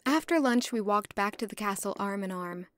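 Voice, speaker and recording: A woman's voice: medium pitch, lively intonation, normal speed, fairly bright tone, slight background noise, natural voice. A woman playing a young, anxious, curious castle servant who narrates her own story in an audio drama. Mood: reflective. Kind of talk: first-person audio drama narration and dialogue.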